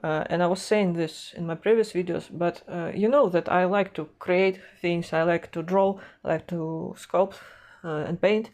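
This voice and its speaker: A young woman with a Russian accent chatting to camera from a room at home, in a slightly low voice. She talks hesitantly, full of fillers, restarts and self-mocking laughs, picked up on a lapel mic. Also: steady tone, moderate pace, darkish tone. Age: young adult. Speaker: artist and animation vlogger. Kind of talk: casual talk to camera. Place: home room with a gaming chair, indoors.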